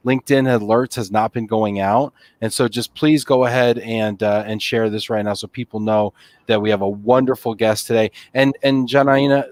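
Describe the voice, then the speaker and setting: A man's voice: medium pitch, no echo. Guy hosting a live stream, chatty, dry sound, middle voice. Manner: chatty, greets viewers. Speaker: host.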